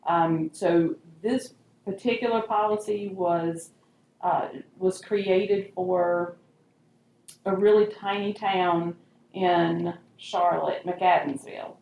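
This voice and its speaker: A woman's voice: lowish voice, steady tone, takes it slowly, vocal fry, darkish tone. A woman on village staff, explaining things conversationally at an informal meeting. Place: meeting room. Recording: echoey meeting room, faint noise.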